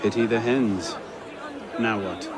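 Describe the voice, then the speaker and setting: Low-voiced young assassin, dryly determined. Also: male voice, steady tone, takes it slowly, noisy background. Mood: dry, purposeful. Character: determined young male assassin in revolutionary France. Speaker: lead character. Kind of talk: cinematic video game acting. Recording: music and busy street crowd behind.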